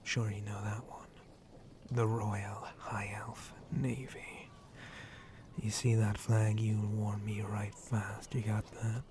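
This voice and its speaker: A man playing a flirty, roguish shark-monster pirate in an ASMR roleplay, breathy and often whispering, with a teasing, rustic pirate way of talking. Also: on the low side, little pitch movement, takes it slowly, background noise. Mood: instructive, wary. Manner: rustic pirate dialect, hesitant drawl, teasing.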